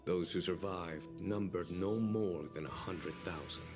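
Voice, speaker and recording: An actor playing a calm, dignified army general in a video game, speaking in measured, formal, eloquent phrases with gentle wryness. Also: male voice, low voice. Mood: solemn, measured. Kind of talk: dramatic video game dialogue. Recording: game music behind.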